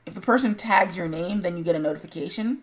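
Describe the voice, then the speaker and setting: A woman's voice: on the low side, fast. An American woman streaming casual, opinionated chat in a sarcastic, blunt manner. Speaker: streamer, show host.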